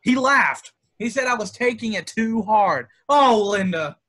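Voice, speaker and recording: A man acting as a bitter young painter. His high, sharp voice swings widely as he talks with wounded sarcasm in stage drama style. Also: animated, measured pace, exaggerated voice. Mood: bitter, dejected. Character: Jim, bitter, dejected young male painter. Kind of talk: virtual stage play table read.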